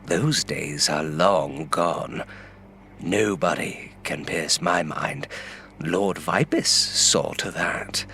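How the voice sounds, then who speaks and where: A man's voice: sits low, extremely slow, creaky, sharp tone, heavily acted. A man playing a sneering, defiant renegade henchman in a fantasy audio drama, with a taunting, chuckling manner. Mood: mocking, defiant. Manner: taunting, chuckling, sometimes hissing whispers.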